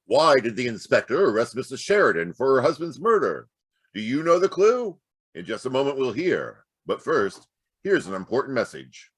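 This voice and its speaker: A man announcing a radio mystery in a dramatic vintage style, with a raspy voice. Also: mid-range voice, sing-song, normal speed, a bit bright, no echo.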